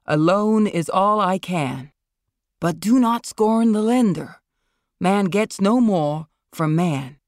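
A woman's voice: medium pitch, lively intonation, takes it slowly, darkish tone, dry recording, clean studio sound. A woman voicing a sneering, pompous older male actor with a declamatory manner. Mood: loud declaiming.

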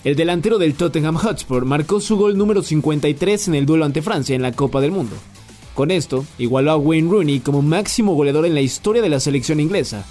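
A man hosting a sports news podcast, reading the day's football stories in a brisk, scripted way. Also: fairly high pitch, faint music behind. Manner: brisk, even headline delivery.

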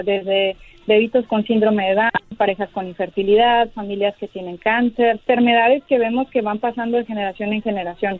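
Female physician calling in, calm and explanatory, tinny dry phone audio. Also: medium pitch, no room sound. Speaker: geneticist guest. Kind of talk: radio interview by phone.

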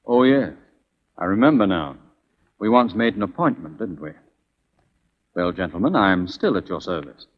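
An actor playing a cool, courtly military captain and secret agent in a 1940s radio western, formal and commanding in manner. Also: male voice, low-pitched, lively intonation, normal speed, pauses often. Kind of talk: 1940s radio drama acting. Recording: muffled old 1940s radio broadcast.

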